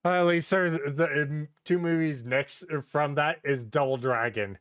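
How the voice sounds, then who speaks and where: A man's voice: fairly high pitch, steady tone, a little slow, a bit bright, clear, resonant tone, dry recording, studio quality. A man chatting casually on a comedy podcast, given to exasperated, sweary rants. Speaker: podcast host.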